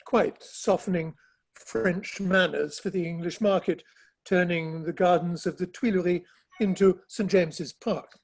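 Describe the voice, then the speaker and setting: A man playing a dry, mildly ironic Victorian gentleman in a dramatic play reading, formal and understated, with a husky voice. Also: high-pitched, measured pace. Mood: dry irony.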